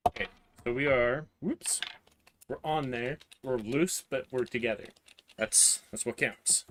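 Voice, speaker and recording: A hoarse man in his thirties livestreaming a printer build, talking haltingly as he searches for words and clears his throat. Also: medium pitch, fairly bright tone, slight background noise. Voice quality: voice cutting out, clears throat often. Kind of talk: live build stream, casual talk while working.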